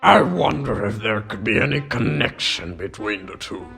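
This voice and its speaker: A man acting out a blustering, short-tempered middle-aged newspaper boss for a comic dub, gruff and barking, with a gravelly voice. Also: fairly low pitch, sing-song, normal speed, pushed and bright, soft background music, heavily acted. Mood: demanding.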